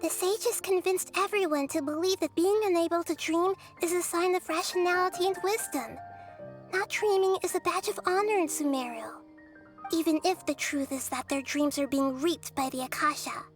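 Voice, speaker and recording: A woman voicing a wise, humble young girl deity in a video game, speaking softly and earnestly in measured, formal phrases. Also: very high-pitched. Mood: measured, grave. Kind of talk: scripted video game character dialogue. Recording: music under the voice.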